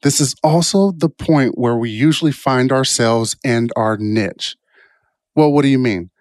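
A man's voice: medium pitch, unhurried, darkish tone, dry recording, clean studio sound. A man speaking informally in a self-help style, reflective and rambling through personal stories, often checking in with a questioning "right?". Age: adult, past college years. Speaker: motivational speaker.

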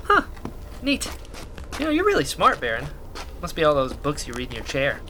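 An actor playing a naive, gentle young medic in a sci-fi radio drama, full of innocent, curious questions. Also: medium pitch, wildly swooping pitch, normal speed, a little hiss or hum. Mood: earnest, curious.

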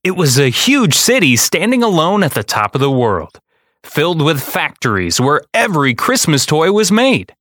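A man's voice: fairly high pitch, sing-song, normal speed, fairly bright tone, no echo. Male storyteller, podcast-host narration, very varied intonation, dry sound. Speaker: podcast host reading a children's book aloud.

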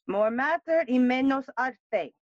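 A woman's voice: high voice, animated, a little slow, dry recording, lo-fi sound. A woman playing a regal, impatient queen in a bilingual Shakespearean audio play. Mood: impatient, concerned.

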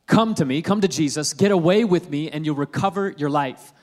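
A man in his thirties, a pastor preaching a sermon in a conversational, humorous way that climbs to loud, emphatic peaks. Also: a little high, brisk.

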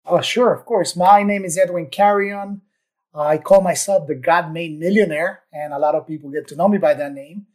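A man's voice: a little high, moderate pace. A man from Ecuador, a podcast guest, telling his story in an upbeat, enthusiastic way. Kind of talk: casual interview chat.

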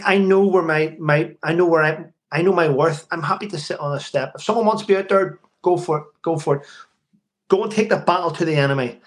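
A man in his forties with a fairly high voice, hosting a podcast. He talks in an easygoing, laid-back way and gives friendly, unhurried answers in casual conversation. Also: a bit bright. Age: 40s. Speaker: podcast host. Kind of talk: casual chat.

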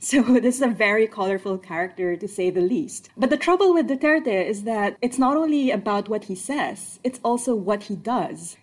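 A woman answers interview questions in a chatty, lively way. Her voice sits in a middle pitch and she speeds up in quick bursts as she explains. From Philippines. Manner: lively, chatty, speeds up and laughs between points. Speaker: guest, political scientist.

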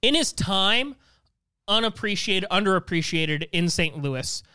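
A man co-hosting a casual panel chat, thinking aloud as he makes his picks, often halting and repeating himself. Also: sits high, expressive, sharp tone, clean tone, no room sound. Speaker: podcast panelist and co-host.

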